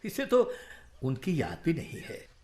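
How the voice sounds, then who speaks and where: A courteous, proud old-court physician in a historical radio play. He speaks slowly and formally in a fairly high, theatrical voice, over faint noise. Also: wildly swooping pitch, warm tone. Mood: reassuring, earnest. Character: proud, courteous royal physician, a senior uncle figure. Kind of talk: Hindi historical radio drama acting.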